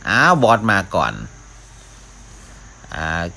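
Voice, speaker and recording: A man's voice: sits low, extremely slow, bright, forceful tone. A man giving casual live commentary as a gaming video host, often talking to himself as he plays. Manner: mouths sound effects, talks to himself while playing.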